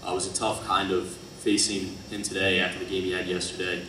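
A man's voice: low-pitched, little pitch movement, a little fast. A man working as a reporter, asking athletes questions at a press conference. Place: press conference room, indoors. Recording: echoey room, far from mic, noisy.

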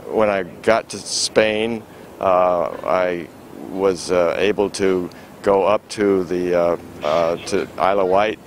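A man's voice: deep voice, takes it slowly, slight background noise. Older man rambling with many fillers. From Florida. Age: 80s. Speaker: sailing school owner. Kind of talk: casual outdoor interview. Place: outdoor city marina. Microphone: handheld microphone held by interviewer.

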